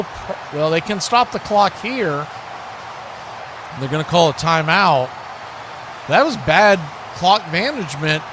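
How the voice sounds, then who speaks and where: High-voiced man calling football, noisy background. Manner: conversational, mixes calls with opinion. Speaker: play-by-play announcer for a fan watch-party stream. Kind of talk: live football commentary. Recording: clearly noisy live stream audio.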